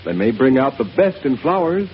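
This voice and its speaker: A man's voice: mid-range voice, big pitch swings, normal speed. A male radio announcer reading an emphatic 1930s commercial. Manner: emphatic, salesy. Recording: dull old radio broadcast with hiss.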